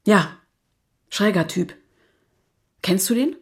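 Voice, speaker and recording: A woman's voice: medium pitch, expressive, slow, slightly rough, exaggerated voice. An actress playing a sardonic married city woman in an audio drama, speaking in a dry, ironic, conversational way. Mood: uneasy. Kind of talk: German audio drama acting.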